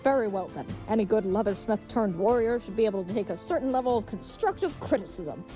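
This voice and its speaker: A woman's voice: medium pitch, animated, fast, dark tone, faint music behind, close to an everyday voice. Woman playing a gallant, pompous, earnest leathersmith knight. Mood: bold, boastful. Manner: pompous, flowery old-fashioned speech. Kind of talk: comedic audio drama acting.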